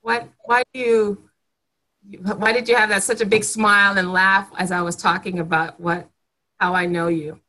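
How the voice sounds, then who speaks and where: A woman's voice: medium pitch, little pitch movement, normal speed, pushed and bright, poor audio. A woman hosting a conversational interview as a coach, asking curious questions and repeating words as she searches for them. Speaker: podcast host and coach.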